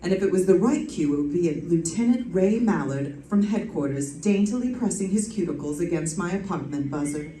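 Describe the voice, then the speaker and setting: A woman's voice: fairly low pitch, animated, normal speed, hardly any pauses, dark tone, a little hiss or hum, natural voice. Echoey, sassy radio detective dame played by a woman. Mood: wry narrating. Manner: wisecracking, arch, theatrical delivery. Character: sassy, flirtatious woman private detective narrating her own case. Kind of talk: old-time radio detective drama acting. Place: live stage performance in an echoey room. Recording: echoey room, distant mic, music behind.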